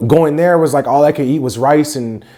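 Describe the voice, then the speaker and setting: A man's voice: fairly high pitch, brisk, warm tone. A laid-back man raised in California, talking casually in an interview with lots of slang and swearing. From born in the Philippines, raised in San Jose, California. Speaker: guest, record label co-founder.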